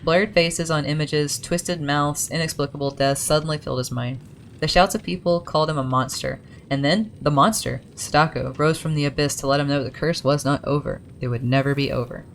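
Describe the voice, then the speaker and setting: Low, casual woman gamer reading lore, chatty asides, faint rumble underneath. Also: a little fast. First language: English. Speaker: gaming video creator.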